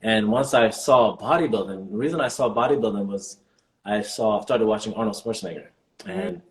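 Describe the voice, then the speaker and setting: A man in his forties with a fairly low voice answers interview questions about his own path, calm and reflective. He talks in long, loose stretches full of small fillers and restarts. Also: moderate pace. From born in the US, raised partly in Jamaica. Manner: relaxed, reflective storytelling with many "you know" fillers. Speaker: guest, vegan bodybuilder.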